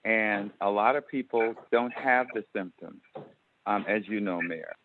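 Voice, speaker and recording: A male council member speaking earnestly and insistently in a meeting, repeating words haltingly, over a phone conference line. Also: fairly low pitch. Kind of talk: commenting in a meeting. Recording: tinny phone line, faint noise.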